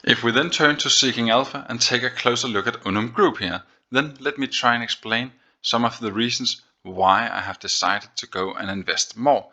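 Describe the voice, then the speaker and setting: A man's voice: mid-range voice, normal speed, hardly any pauses, sharp tone. A man hosting a finance video, explaining figures on screen in a methodical, step-by-step way. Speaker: host of an investing video series.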